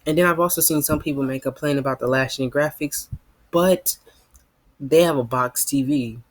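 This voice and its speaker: A man's voice: medium pitch, moderate pace, no echo. A teenage boy, rambling casually to his viewers as a gaming YouTuber, sprinkling his talk with "man" and "you know what I mean". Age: teens.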